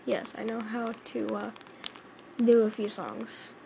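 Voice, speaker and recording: Slow-talking woman, sore throat, rambly chat between covers, kinda high voice. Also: little pitch movement, creaky, dark tone, a little hiss or hum. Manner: chatty, rambling, lots of fillers. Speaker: amateur cover singer.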